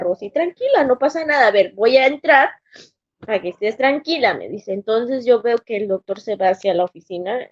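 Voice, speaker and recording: A woman's voice: a little high, a little mellow, highs cut off. A Mexican woman recounting a painful workplace experience in an interview, acting out what others said and often restarting her sentences. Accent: Mexican Spanish. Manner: acts out quoted voices, halting restarts. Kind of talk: emotional personal testimony.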